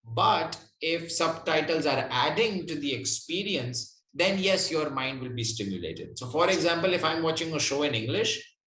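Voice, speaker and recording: A man's voice: on the high side, animated, normal speed, sharp tone. A man answering interview questions as a guest, explaining conversationally and often checking in with the listener. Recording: roomy, echoey sound.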